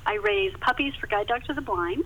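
A woman's voice: fairly high pitch, animated, brisk, fairly bright tone, slight background noise. An older American woman, a guest author, chatting enthusiastically in a podcast interview over a muffled line.

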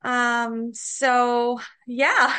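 A woman's voice: on the high side, drawn-out words, sharp tone, clean tone. A middle-aged woman with a Bulgarian accent, giving casual, hesitant and giggly answers as a podcast guest. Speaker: podcast guest, author and writing coach.

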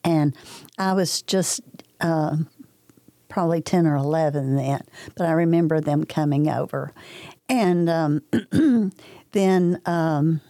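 An older woman from Kentucky recalling church memories in an interview, pausing often with many ums and uhs. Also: low-pitched, no room sound. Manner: hesitant, many ums, soft-spoken. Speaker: interview guest. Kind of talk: casual reminiscing interview.

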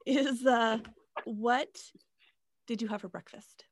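A woman's voice: a little high, fairly even intonation, takes it slowly, no echo. A woman hosting a podcast, earnest and musing in casual chat, swearing freely. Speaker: podcast host.

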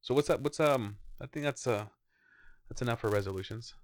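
A man's voice: mid-range voice, brisk, dry recording, studio quality. A man in his thirties co-hosting a casual podcast, rambling in an easygoing way and swearing now and then. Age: 30s. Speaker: podcast co-host. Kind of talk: casual chat.

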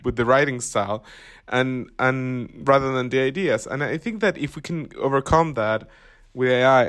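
Man, first language Spanish, who thinks aloud on a podcast panel; halting delivery, middle pitch. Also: fairly bright tone. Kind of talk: conversational discussion.

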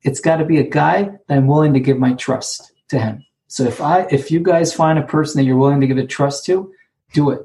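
A man with a Hebrew accent, Hebrew being his first language, sharing about recovery and sponsorship at an online conference, speaking haltingly and repeating words as he searches for them. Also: on the high side, warm tone, a little hiss or hum. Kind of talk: personal share at an online conference. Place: sitting alone, remote.

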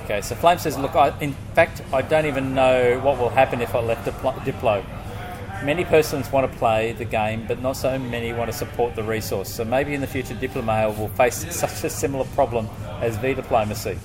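A man co-hosts a relaxed podcast and reads a guest's written answers aloud. He talks in a loose, joking way and swears casually. Music plays clearly beneath his voice. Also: medium pitch, fairly quick. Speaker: podcast co-host. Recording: music playing underneath.

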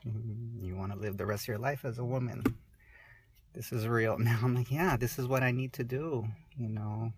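A woman in her forties speaking candidly in an interview, halting and soft-spoken, often restarting her sentences. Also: a bass voice, a crowd in the background. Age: 40s.

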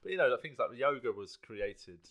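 A man's voice: medium pitch, sing-song, moderate pace, fairly bright tone, highs cut off. A middle-aged man with a South London accent, a comedian chatting casually on a podcast and thinking aloud with easy laughs. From South London, England. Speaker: guest comedian.

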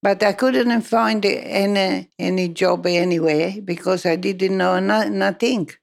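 Slow, gravelly older woman. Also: mid-range voice, fairly even intonation. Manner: broken English, hesitant. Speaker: interviewee. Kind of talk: oral history interview.

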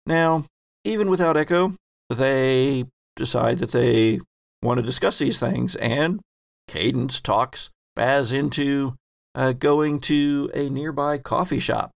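A man narrating as game master of a tabletop roleplaying game, slow and halting, with many fillers. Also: mid-range voice, gravelly, dry recording, studio quality.

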